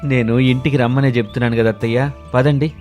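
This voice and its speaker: A man voicing a polite, obliging village farmer in an animated moral story, agreeable and hospitable. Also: medium pitch, fast talker, soft background music, natural voice.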